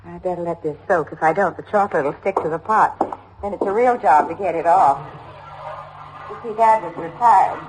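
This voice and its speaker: An actress playing a chatty, homely middle-aged American widow in a radio drama, rambling on eagerly to please. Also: female voice, lowish voice, normal speed, background noise, lightly acted. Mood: matter-of-fact reminiscing. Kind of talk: old-time American radio drama acting.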